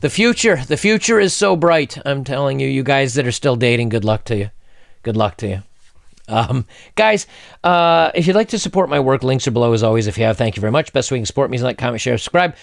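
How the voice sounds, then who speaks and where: A man's voice: mid-range voice, lively intonation, quick pace, gravelly, dry recording, clean studio sound. A middle-aged man hosting a casual advice monologue for men, speaking bluntly and conversationally. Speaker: host giving dating commentary and advice to men.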